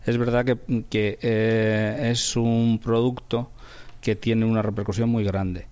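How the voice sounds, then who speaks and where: A middle-aged man with a fairly low voice and a Spanish accent from Spain speaks as an interview guest. He explains things informally, and the sound is a little muffled. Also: level tone, takes it slowly, a little mellow, clean tone, dry recording. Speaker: interview guest, radio producer and sound technician.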